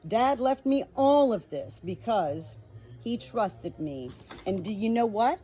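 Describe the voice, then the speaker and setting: A woman's voice: a little high, animated, takes it slowly, warm tone. A woman voicing a defiant young shepherdess in a storybook, with a firm, assertive manner. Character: defiant young shepherdess standing up to her mother.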